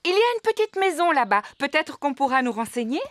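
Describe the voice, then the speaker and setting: A woman voicing a polite, gentle mother pig in a French preschool cartoon, with courteous, motherly delivery. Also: high voice, big pitch swings, quick pace, dry recording. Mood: friendly, curious.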